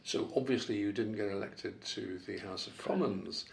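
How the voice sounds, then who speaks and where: A man's voice: fairly low pitch, normal speed, gravelly. A man hosting his own podcast, asking plain, direct questions. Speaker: podcast host and reporter. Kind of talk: podcast interview.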